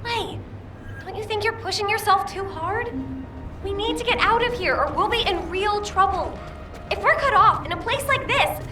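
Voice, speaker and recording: A woman's voice: very high voice, expressive, moderate pace. An actress playing an eager, caring young female sidekick in a video game. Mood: worried. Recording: music behind.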